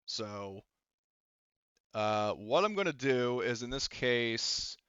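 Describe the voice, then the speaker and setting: A man works as game master for a tabletop roleplaying session, talking casually and swearing now and then. His voice is clean and resonant at an ordinary male pitch. The recording is close and dry, with a slightly muffled top end. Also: animated, slow, a bit bright, clean tone, no echo.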